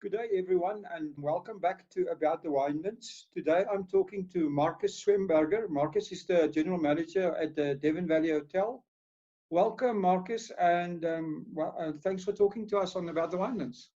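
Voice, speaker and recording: A man's voice: high voice, moderate pace, mellow, muffled recording. A man hosting a casual interview over a video call, easygoing, with frequent filler words. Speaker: host.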